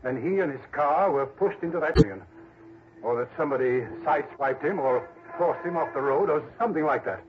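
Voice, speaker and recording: A man's voice: fairly high pitch, animated, fairly quick, natural voice. An actor playing a fussy, authoritative man in a 1960s radio drama, speaking formally and emphatically. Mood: grave, urgent. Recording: muffled, slightly noisy old radio broadcast.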